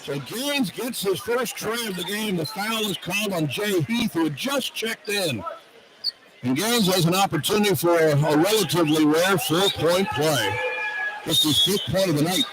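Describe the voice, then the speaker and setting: A man's voice: high voice, expressive, unhurried. A man calling live basketball play-by-play on the radio, describing the action in short, clipped phrases. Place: indoor basketball arena. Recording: radio broadcast over loud arena noise. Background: loud arena ambience.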